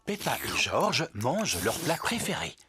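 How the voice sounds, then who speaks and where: A man's voice: mid-range voice, huge pitch swings, unhurried, background noise. A man narrating a preschool cartoon as a storyteller, in scripted children's narration. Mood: storytelling. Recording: music under the voice.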